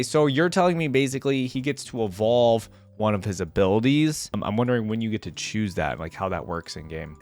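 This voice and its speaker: A man hosting a reaction video in a casual, conversational way, thinking aloud and sometimes reading text out. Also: mid-range voice. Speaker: host of a game reaction video.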